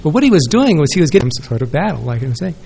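A man's voice: a little high, very animated, very fast, gravelly, a little mellow, breathy edge. Rambling man at a conference podium. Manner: rambling, self-correcting, conversational. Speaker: conference respondent. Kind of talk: academic conference response. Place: conference room with audience. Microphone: podium microphone.

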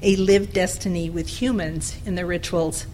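A woman giving a conference presentation, reading her paper in a measured way at a podium microphone. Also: fairly low pitch, fairly even intonation, dark tone, a little hiss or hum, rough recording. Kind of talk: academic presentation and Q&A. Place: lecture room.

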